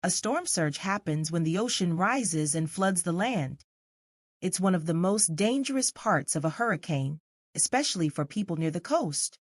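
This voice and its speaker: A woman voicing a calm, serious and reassuring mother who explains things instructively in a children's storybook. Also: lowish voice, normal speed, no room sound, clean studio sound.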